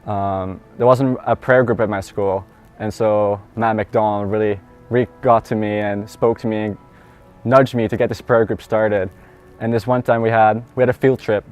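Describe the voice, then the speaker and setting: A man's voice: fairly low pitch, fairly even intonation, normal speed, a little mellow, faint music behind. A teenage boy from the Netherlands with a Dutch accent, earnestly telling his personal faith story in a conversational way. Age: teens. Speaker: student. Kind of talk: personal testimony.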